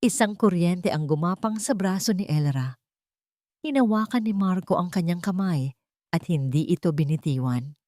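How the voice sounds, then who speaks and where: A woman with a lowish voice reads a scripted romance. She delivers it as dramatic storytelling. The recording is very dry, with no room sound. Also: lively intonation, moderate pace, mellow, no room sound.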